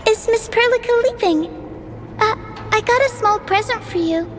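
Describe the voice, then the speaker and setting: A woman voicing a shy, affectionate little girl in a video game cutscene, with a light, gentle, hesitant delivery. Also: extremely high pitch, normal speed, soft background music.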